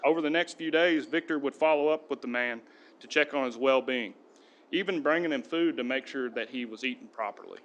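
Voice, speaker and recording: A man, a police sergeant, giving a steady, earnest prepared speech at a podium in a council chamber. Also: medium pitch, fairly quick, muffled recording, a little hiss or hum. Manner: steady, earnest reading of a tribute.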